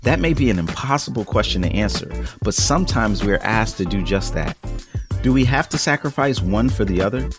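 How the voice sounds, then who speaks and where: A man hosting a podcast, reading his intro and asking measured, curious questions. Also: on the low side, lively intonation, music in the background. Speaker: podcast host. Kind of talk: scripted intro and interview questions.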